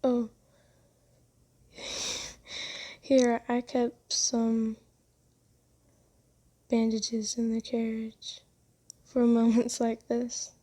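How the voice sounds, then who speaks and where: Woman acting as a doting female vampire, very slow pace, mellow tone, soft and genteel, soothing, gently teasing, ASMR roleplay. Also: on the high side, completely flat delivery. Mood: reassuring.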